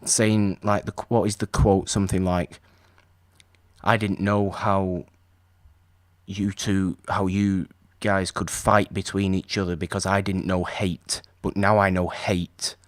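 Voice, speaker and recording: A man's voice: low voice, level tone, measured pace, dry recording. A man co-hosting a podcast, chatting casually about an old film and rambling with restarts. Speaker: podcast co-host.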